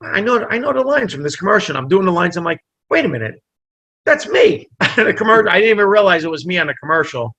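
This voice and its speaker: A man with a bright, forward voice, pitched a little above the usual for a man. He spins a lively tale and acts out each person in it. Italian was his first language. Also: fast talker. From New Jersey. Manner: animated storyteller, acts out dialogue. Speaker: comedian guest. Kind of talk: casual storytelling interview.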